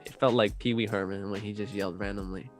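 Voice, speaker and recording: A man's voice: lowish voice, steady tone, fast talker. A man chatting casually in loose slang, swearing freely as he gives his opinions. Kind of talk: casual chat about video game movies. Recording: music playing behind the voice. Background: music.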